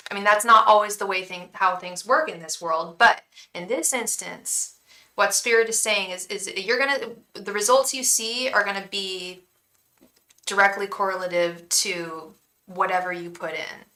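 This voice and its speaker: A young woman gives a live tarot reading in a chatty, reassuring tone. Her voice is bright and clear, with a calming quality. She often restarts her sentences, and the room around her has a noticeable echo. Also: mid-range voice. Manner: addresses viewers fondly as "love". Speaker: tarot reader and psychic.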